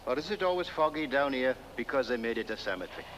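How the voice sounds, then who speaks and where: An actor playing a dim, touchy village constable with a British accent and comic, musing delivery in a horror-comedy film. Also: male voice, medium pitch, expressive, fast talker, a bit bright, lo-fi sound, a little hiss or hum, lightly acted. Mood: puzzled, peevish.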